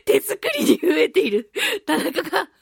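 A woman voice actress hosting a chatty solo radio show, slightly nasal from a mild head cold, with an animated, playful and self-deprecating manner. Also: very high-pitched, moderate pace, gravelly, dry recording, studio quality. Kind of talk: chatty solo radio talk with listener mail.

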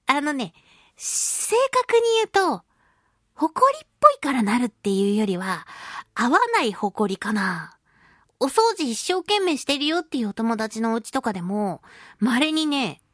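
Female solo radio host: high-pitched, expressive, giggly, chatty delivery in a dry studio sound. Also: no room sound. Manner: expressive, giggly, uses sound effects and little acted asides. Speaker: radio show host, voice actress. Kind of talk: casual solo radio talk.

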